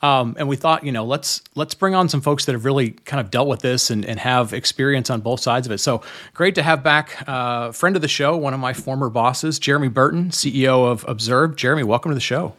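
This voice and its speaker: A man speaks as a podcast host in an informal, conversational style. His voice sits at an ordinary male pitch, and his delivery is a little halting as he talks through his thoughts. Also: a bit bright.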